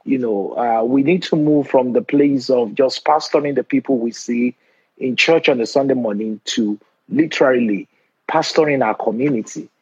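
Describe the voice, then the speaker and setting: A man in his forties with a Nigerian accent hosts an interview, speaking earnestly and at a measured pace, often restarting his sentences. His voice is a bit husky and sits in a middle register. The recording is dry and slightly muffled, as if lo-fi. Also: medium pitch, a little mellow, no echo. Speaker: host. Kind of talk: conversational interview.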